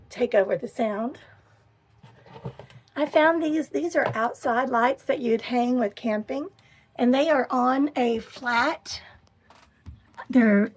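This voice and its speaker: A middle-aged woman with a hoarse voice, chatting casually as she gives a tour of her camper van. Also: on the high side. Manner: chatty and enthusiastic, pausing while showing things. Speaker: vlogger. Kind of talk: casual home tour vlog. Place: inside a small camper van.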